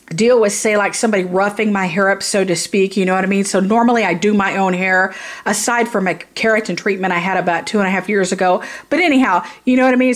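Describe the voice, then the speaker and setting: A tired woman giving a blunt, casual product review, rambling and repeating herself for emphasis. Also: medium pitch. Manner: blunt, informal.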